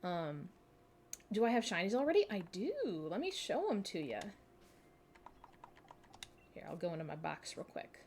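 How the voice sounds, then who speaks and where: A woman's voice: lowish voice, sing-song, normal speed, pauses often, a little mellow, slight background noise. Giggly Midwestern woman streamer. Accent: Midwestern American. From Minnesota, USA. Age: 20s. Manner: chatty, giggly, self-deprecating. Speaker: game streamer. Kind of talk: casual live-stream chat while playing.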